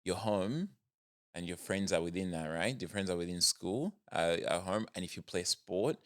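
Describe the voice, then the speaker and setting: A man's voice: sits low, normal speed, no echo, studio quality. A young man from Zambia, a startup founder, sharing reflective stories as a podcast guest. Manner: reflective, storytelling, frequent restarts. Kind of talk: casual podcast conversation.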